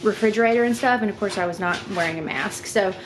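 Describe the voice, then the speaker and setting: A woman in her thirties chatting casually to the camera for her daily vlog, her voice a bit low and congested, rambling with fillers. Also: quick pace, noisy background. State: slightly husky, congested voice. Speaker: vlogger.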